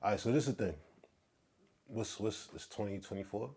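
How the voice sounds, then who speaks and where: A man's voice: fairly low pitch, fairly quick, a little mellow, husky. A man, a rapper guesting on a podcast, talking in a loose, slangy, rambling way. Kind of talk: casual conversation.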